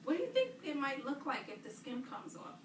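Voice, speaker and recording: Higher-voiced woman reading aloud, roomy noisy recording. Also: big pitch swings, brisk. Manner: prompting, questioning, affirming the children's answers. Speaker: teacher reading aloud to children. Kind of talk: interactive read-aloud lesson with questions to children. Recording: roomy, distant mic, clear background noise.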